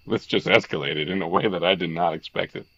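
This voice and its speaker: A man hosting a visual novel read-along, moving between narration and jokey, excitable, sweary commentary. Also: low voice, brisk, husky, highs cut off. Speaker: streamer, host of a game read-along.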